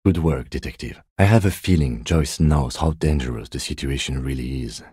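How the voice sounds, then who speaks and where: A reserved, middle-aged male police detective from a video game. His voice is extremely deep and soft-edged, recorded dry and close. He speaks in measured, formal phrases with understated, principled restraint. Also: breathy edge, no room sound, full character voice. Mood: calm, measured. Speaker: voice actor.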